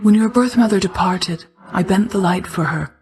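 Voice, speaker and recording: A woman playing a calm, motherly goddess of night in a video game, speaking in a measured, formal and stately manner. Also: mid-range voice, close to an everyday voice. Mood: composed, encouraging. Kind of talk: scripted video game character lines.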